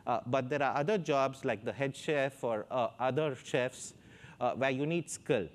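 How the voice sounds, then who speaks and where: A man's voice: medium pitch, unhurried. A man with an Indian accent, speaking as a panelist on a stage through a lapel mic, hesitant with many fillers. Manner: hesitant, many fillers, gestures while talking. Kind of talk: panel discussion. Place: stage in front of a backdrop.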